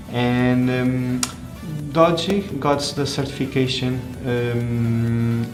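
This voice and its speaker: A man presents a product hands-on with a flat, unhurried delivery. He speaks haltingly, searching for words and repeating them as he goes. The sound is noticeably roomy. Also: medium pitch. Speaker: tech reviewer presenting a phone. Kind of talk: unscripted hands-on product review.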